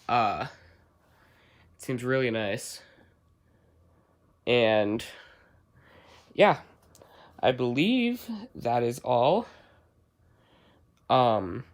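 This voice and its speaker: A man giving a casual, somewhat halting hands-on product demonstration, explaining step by step. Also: mid-range voice. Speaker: hobbyist product reviewer.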